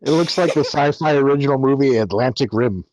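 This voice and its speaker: A man's voice: medium pitch, fairly quick, a little mellow, dry recording. A man chatting casually as a film commentary panelist, on dull lo-fi audio.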